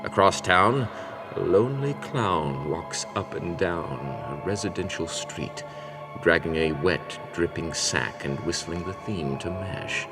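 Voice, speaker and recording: A man's voice: low voice, animated, slow, over music, exaggerated voice. A man playing a grandiose horror show announcer, dramatic and portentous, in an old-time radio introduction style. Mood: ominous, grandiose.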